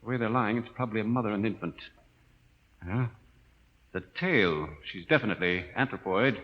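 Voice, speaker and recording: An actor playing an absorbed, excitable male scientist in a 1950s radio drama, full of eager wonder as he explains. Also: on the low side, fairly quick. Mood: hushed wonder. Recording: muffled vintage 1950s radio recording.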